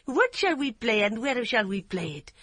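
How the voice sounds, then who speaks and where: A shrill young wife flares into high, impassioned fury, played by a woman reading the story. Her voice leaps high and loud, with a breathy edge and lively swings. It sounds dry and close. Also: normal speed, dry recording. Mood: sweetly cheerful. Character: young wife, furious and wounded.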